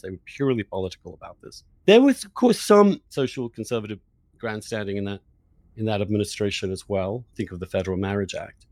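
A man's voice: lowish voice, a little mellow, no echo. A man hosting a political podcast, debating in an emphatic, opinionated, argumentative way. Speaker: podcast host.

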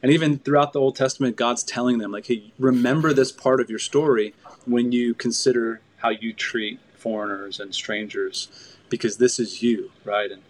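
A man's voice: mid-range voice, steady tone, normal speed, slight background noise. Reflective male podcast host. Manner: reflective, affirming. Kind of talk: casual conversation.

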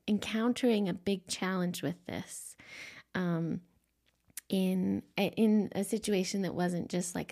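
A woman hosting a solo podcast, her quiet, gentle voice sitting at an ordinary middle pitch. She sounds a little stuffy and sniffly from allergies. She talks softly with frequent ums and small restarts as she shares her thoughts. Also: vocal fry. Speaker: podcast host and life coach.

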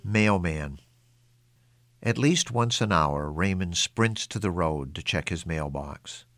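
A man's voice: deep voice, animated, slow pace, a bit bright, no room sound, soft background music. A man reading his own literary short story aloud as a scripted narration.

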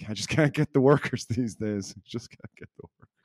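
A man's voice: mid-range voice, moderate pace, a little mellow, no echo, clean studio sound. A man co-hosting a podcast in casual, unscripted chat, rambling along in a jokey, self-deprecating way. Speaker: podcast co-host.

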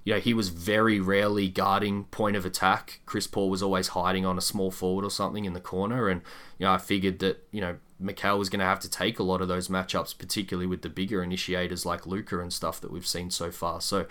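A man with a low-pitched voice co-hosts a sports podcast. He speaks in a casual, conversational style, laying out his analysis in a measured way. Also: flat delivery, a bit bright. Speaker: podcast co-host.

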